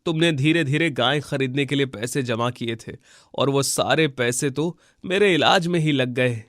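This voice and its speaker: A man voicing a poor, humble village labourer husband in a cartoon story, earnest and gentle. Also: mid-range voice, a little slow, dry recording, studio quality, natural voice. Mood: apologetic, worried. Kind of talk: Hindi cartoon moral-story dubbing.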